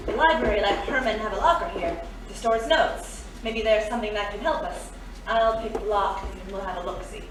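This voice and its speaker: A woman's voice: mid-range voice, brisk, lightly acted. An actress playing a jealous, scheming woman in a period noir radio drama, dramatic and pleading. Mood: breezy, sly. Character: desperate scheming jealous woman, old flame of a crook. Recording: roomy, distant, faint background noise.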